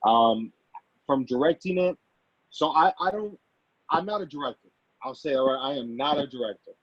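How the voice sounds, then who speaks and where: A man speaking as an actor being interviewed, casual and halting, often repeating and rephrasing himself. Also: mid-range voice, animated, dry recording. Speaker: actor and amateur producer, interview guest. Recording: dull, lo-fi audio.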